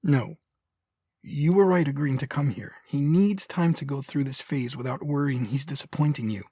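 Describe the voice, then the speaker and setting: A man playing a poised, courteous Sith noble in an audio drama, speaking in a formal, measured and reassuring way. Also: medium pitch, mellow, husky, dry recording, studio quality, lightly acted. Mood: earnest, reassuring.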